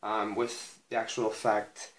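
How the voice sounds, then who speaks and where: A man giving an informal technical demonstration, explaining in a halting, hesitant way with frequent pauses. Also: fairly low pitch, level tone. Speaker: hobbyist maker demonstrating a modified guitar. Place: small indoor room at a cluttered desk. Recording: echoey room sound.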